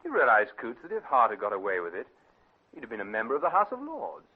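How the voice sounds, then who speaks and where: An actor playing a shrewd, unruffled private detective in a British radio drama, with a clipped, authoritative delivery. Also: male voice, mid-range voice, wildly swooping pitch, quick pace, husky, phone-quality sound. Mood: explanatory. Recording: band-limited vintage radio recording.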